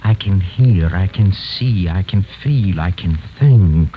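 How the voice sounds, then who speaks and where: An actor playing a tormented, bitter living wax figure, brooding and halting in 1940s radio style. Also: male voice, low-pitched, animated, a little hiss or hum. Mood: despairing. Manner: brooding, halting, repeats himself. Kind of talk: 1940s radio acting, inner monologue. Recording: dull, lo-fi 1940s radio recording.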